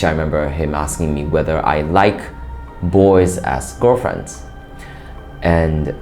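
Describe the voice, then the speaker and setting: A young man from Japan answers interview questions casually, pausing often with fillers and restarts. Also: rumbling low, darkish tone. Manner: hesitant, many fillers and restarts. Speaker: interview guest, bank operations worker.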